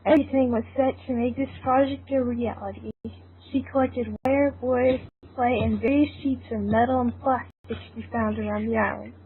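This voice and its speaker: A woman's voice: on the high side, steady tone, moderate pace. A woman reading scripted fan fiction narration as an amateur narrator. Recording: tinny, band-limited, noisy amateur recording.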